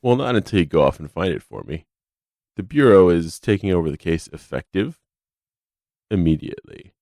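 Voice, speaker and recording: Deep-voiced, arrogant federal agent. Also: male voice, big pitch swings, normal speed, a little mellow, dry recording, studio quality. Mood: smug, mocking. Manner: condescending, mock-polite, wordy. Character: arrogant, sarcastic, foul-mouthed male FBI special agent. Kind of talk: radio drama acting.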